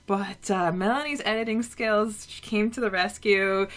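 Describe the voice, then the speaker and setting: A woman co-hosting a casual podcast, chatting and recapping a story with a relaxed, talky manner. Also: medium pitch, a little slow. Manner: chatty, recaps with many "like" fillers. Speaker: podcast co-host. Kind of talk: casual chat.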